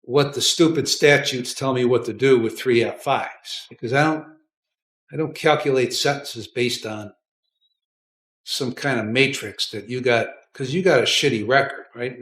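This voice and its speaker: A blunt American man with a medium-pitched voice, speaking as a judge in a courtroom. He questions and lectures in plain, repetitive phrases, often tagging them with a short check for agreement.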